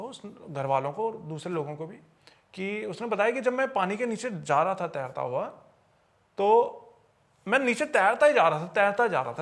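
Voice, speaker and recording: A man telling paranormal tales in a conversational podcast style, often repeating and rephrasing phrases for emphasis. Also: sits high. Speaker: podcast storyteller.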